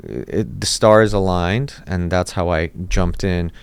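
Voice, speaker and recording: A man's voice: low voice, steady tone, takes it slowly, creaky, clean tone, no echo. A dentist in his thirties, born in Iraq and raised in Los Angeles, telling his story in a casual interview, with many restarts and fillers. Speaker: dentist, podcast guest.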